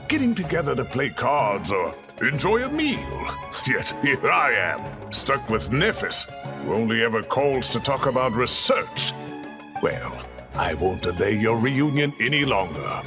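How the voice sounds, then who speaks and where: A genial, self-satisfied older male scholar from an anime game. The delivery is theatrical and avuncular, with pitch swinging widely at a medium height. Faint music sits behind it. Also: big pitch swings, a little slow. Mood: wry, genial. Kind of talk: English anime game dub acting.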